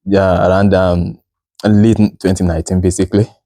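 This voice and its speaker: Hesitant Nigerian-raised man, dark voice. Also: deep voice, level tone, moderate pace, no echo, studio quality. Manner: hesitant, many fillers and restarts, laughs often. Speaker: guest. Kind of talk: casual interview chat.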